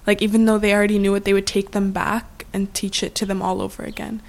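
Flat, creaky teenage girl. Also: mid-range voice, fast talker. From Canada. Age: teens. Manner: casual, lots of "like". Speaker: interviewee.

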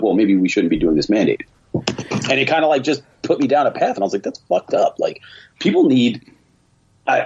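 A man's voice: lowish voice, dark tone, husky. A man speaking as a podcast guest over an internet call, giving his opinions bluntly and colloquially with emphatic repetition. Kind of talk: casual opinionated discussion. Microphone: remote internet call. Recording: dull lo-fi internet call audio.

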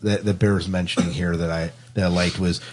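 A man's voice: low voice, little pitch movement, a little fast, background noise. A man hosting a podcast, casually discussing a film and often repeating phrases as he works out his point. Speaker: podcast host.

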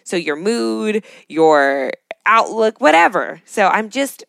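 A woman's voice: medium pitch, sing-song, slow, vocal fry, a bit bright, dry recording, clean studio sound. A woman co-hosting a podcast, speaking casually and reflectively in an upbeat, enthusiastic way. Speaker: podcast co-host.

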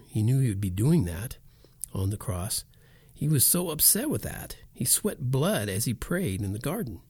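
A man delivers a homespun sermon straight into a close microphone, with no room sound around him. His voice is mellow and a little dark. He swings his pitch widely as he fires rhetorical questions at the listener. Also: slightly rough, no echo. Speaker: preacher and podcast host. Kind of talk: informal sermon monologue.

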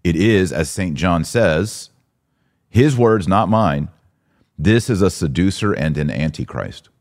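A man's voice: low-pitched, expressive, takes it slowly, a little mellow. A man hosting a podcast, giving religious commentary in a casual conversational style with an emphatic, indignant manner. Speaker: podcast host.

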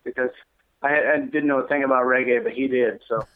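A man's voice: mid-range voice, fairly even intonation, brisk, no room sound. Man rambling over a tinny phone line. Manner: rambling, lots of fillers. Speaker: interview guest, musician. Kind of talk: casual interview.

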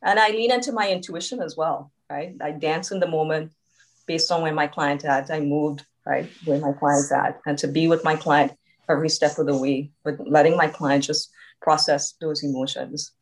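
A woman's voice: sits low, steady tone, moderate pace, poor audio. A woman from Trinidad with a subtle Trinidadian accent, a coach speaking as a podcast guest in a reflective way, often adding "right?". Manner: reflective, frequent tag "right?". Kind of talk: conversational interview.

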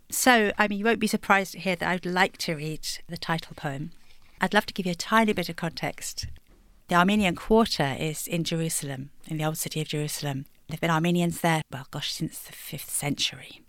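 Low-voiced woman poet, thoughtful. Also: a little fast, no echo. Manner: warm, thoughtful, laughs lightly between thoughts. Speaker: poet guest. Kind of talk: reflective interview answers.

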